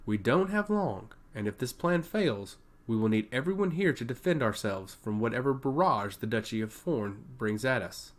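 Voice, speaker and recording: Fantasy storybook king, read by a man: stern, weary, skeptical, commanding, varied pitch. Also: expressive, moderate pace, hardly any pauses, lightly acted. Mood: grave.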